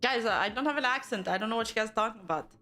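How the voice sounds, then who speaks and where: A woman's voice: on the high side, very fast, sharp tone, faint music behind. A young woman from Canada, a VTuber streamer, casually chatting and reacting to news and her live chat, laughing often and teasing back.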